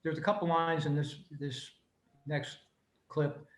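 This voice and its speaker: High-pitched man presenting in an echoey room. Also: flat delivery, a little slow, background noise. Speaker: presenter at an old-time radio club. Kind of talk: explanatory narration introducing clips. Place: video meeting, echoey room. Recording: roomy, echoing video-call audio.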